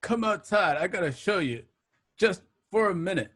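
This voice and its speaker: An excitable, boastful gangster, acted by a man in a crime radio play. The voice sits high and moves about a lot, with a stammering eagerness to please. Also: moderate pace. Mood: proudly eager. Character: eager, boastful gangster placating his angry boss.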